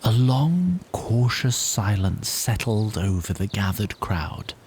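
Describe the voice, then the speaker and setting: A man narrating a scripted story in a measured, literary and theatrical manner. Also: lowish voice, sing-song, darkish tone, slight background noise.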